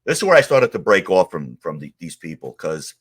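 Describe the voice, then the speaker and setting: A middle-aged man from Queens, his voice sitting low. He talks casually and rambles in a streetwise way, filling gaps with "you know" as he tells his stories in an interview. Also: sing-song, fairly quick, slightly rough. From Queens, New York. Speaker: interview guest. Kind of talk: casual storytelling.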